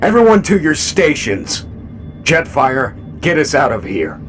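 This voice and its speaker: A man's voice: fairly high pitch, huge pitch swings, a little slow, music in the background. A man playing an authoritative, protective robot commander in an audio drama, controlled in delivery. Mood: commanding.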